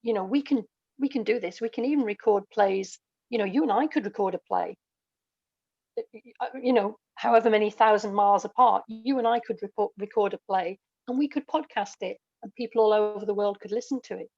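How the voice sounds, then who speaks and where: A woman's voice: mid-range voice, a little fast, darkish tone, no room sound, dull audio. A middle-aged woman guest, a writer and performer, telling stories conversationally in an interview. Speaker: guest, audio dramatist and performer.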